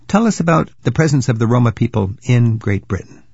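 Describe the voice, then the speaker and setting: A man's voice: medium pitch, expressive, fairly quick, a little mellow, breathy edge, no room sound, lo-fi sound. An older man hosting a travel radio show, chatty and curious, thinking aloud with fillers as he asks questions. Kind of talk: radio interview.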